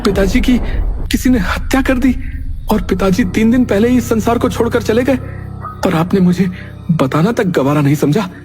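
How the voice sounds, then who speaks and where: An actor playing an earnest, anxious young married son with halting, dramatic delivery in a horror cartoon. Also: male voice, high-pitched, lightly acted. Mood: shocked, hurt. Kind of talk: Hindi horror cartoon acting. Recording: loud music behind the voice.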